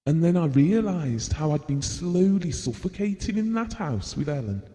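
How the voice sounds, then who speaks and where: A man's voice: a little high, lively intonation, moderate pace, warm tone, natural voice. An actor playing a guilt-ridden, exasperated husband in an audio drama, confessing his regrets in a strained manner. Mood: troubled, confessional. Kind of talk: audio drama monologue and dialogue. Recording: reverberant hall-like room sound.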